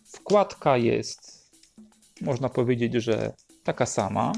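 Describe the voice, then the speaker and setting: Male host, explanatory and unhurried, mid-pitched and relaxed, over light music. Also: vocal fry. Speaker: host presenting a record collection. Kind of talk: unhurried show-and-tell narration.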